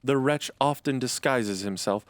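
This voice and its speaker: A man voicing a caring mother goat, warning her young in a high, gentle voice. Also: unhurried, dry recording, close to an everyday voice.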